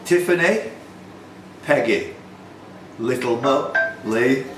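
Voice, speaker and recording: A man's voice: medium pitch, slow pace. A man hosting a pub quiz, reading out questions and scores informally, with chatty asides. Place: indoor pub. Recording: roomy pub recording with background noise.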